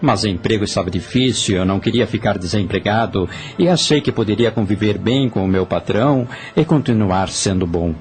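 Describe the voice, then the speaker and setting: A remorseful spirit played by a man confesses earnestly in an audio drama. His voice is low and weary, with a Brazilian accent, and music sits behind it. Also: lively intonation, a little fast, few pauses, lightly acted. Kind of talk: Portuguese-language audio drama acting.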